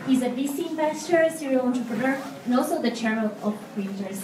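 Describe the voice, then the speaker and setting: A woman host introducing panel speakers on stage with upbeat announcements and filler pauses. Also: high voice, animated, noisy background. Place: event hall. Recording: echoey hall, voice from far off.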